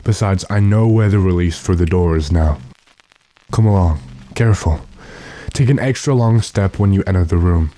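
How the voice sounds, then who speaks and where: A man playing an earnest, determined gentleman who gives commands dramatically, in the manner of an old radio drama. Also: sits low, normal speed, no echo, lightly acted. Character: urgent, determined gentleman trapped with his manservant.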